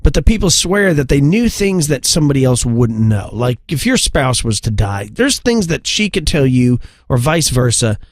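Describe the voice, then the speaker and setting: A man's voice: medium pitch, sing-song, moderate pace, hardly any pauses. A man co-hosting a podcast, chatting casually and telling stories in a conversational way. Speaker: podcast co-host. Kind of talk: casual chat, storytelling.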